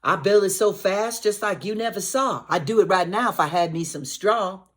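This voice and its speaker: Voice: medium pitch, moderate pace, fairly bright tone, pitched above the performer's own voice, natural voice. A reader voicing a boastful young pig in a read-aloud storybook, eager and bragging.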